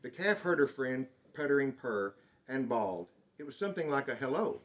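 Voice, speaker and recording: Male storyteller, mid-range voice, children's book read-aloud, expressive and dramatic delivery. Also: medium pitch, a little fast, a little hiss or hum. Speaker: storybook reader.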